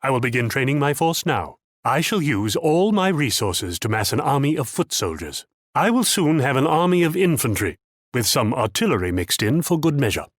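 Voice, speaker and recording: An actor playing a haughty, shrewd Dutch military commander in a strategy game, pompous, theatrical and patronizing. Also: male voice, mid-range voice, very animated, moderate pace, no echo, studio quality. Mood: confident command. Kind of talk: scripted strategy game character lines.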